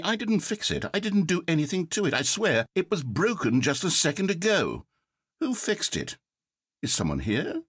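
A man's voice: fairly high pitch, slightly rough, no echo, heavily acted. A man playing a pompous, self-important video game narrator who is easily rattled, with a theatrical delivery. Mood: panicked. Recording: dry studio recording.

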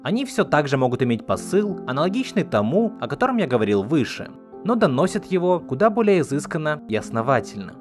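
A man narrating a scripted explanatory video essay in a measured, didactic manner. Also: fairly high pitch, very animated. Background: background music.

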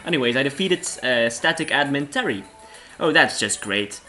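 A young man from Belgium talks through a game with a hoarse, cold-roughened voice. His tone is bright and a little tense, with faint music playing underneath. He rambles, apologizes and laughs at himself as he goes. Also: medium pitch, sing-song, normal speed, poor audio. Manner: rambling, self-deprecating, laughs often. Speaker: let's play host. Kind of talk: casual game commentary.

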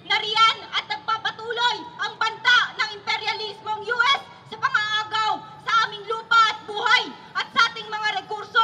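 A woman's voice: very high voice, pushed and bright. A woman with a Filipino accent declaiming a rally speech into a microphone outdoors. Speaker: protest speaker. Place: outdoor protest rally stage. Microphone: stand microphone through PA.

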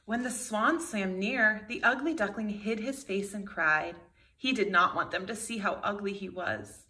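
Expressive kindergarten teacher, bright woman's voice. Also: medium pitch, measured pace. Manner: expressive, talks to young children with questions. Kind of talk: children's storybook read-aloud.

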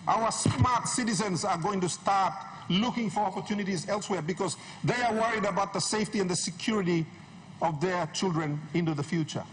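High-pitched, emphatic politician man. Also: a bit bright. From Papua New Guinea. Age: middle-aged. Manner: emphatic, rhetorical, repeats phrases for effect. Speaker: member of parliament. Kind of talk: impassioned parliamentary speech. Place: large wood-panelled parliament chamber. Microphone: desk gooseneck microphone, standing. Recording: noisy parliament chamber microphone.

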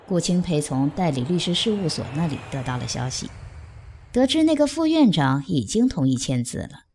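Low-voiced woman narrator, very expressive. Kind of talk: scripted audio drama narration.

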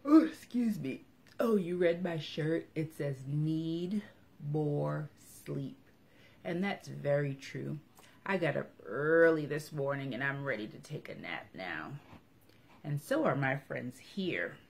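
A woman's voice: low-pitched, animated, takes it slowly, vocal fry, a little mellow. A woman hosting a storytime for young children, talking to them in simple, instructive phrases. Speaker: children's library storytime host.

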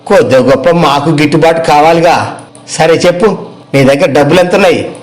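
Brisk male market trader, acted out by a man. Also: fairly high pitch, close to an everyday voice, low-quality recording. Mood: businesslike. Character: brisk, friendly wholesale market vegetable trader. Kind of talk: acted moral story dialogue.

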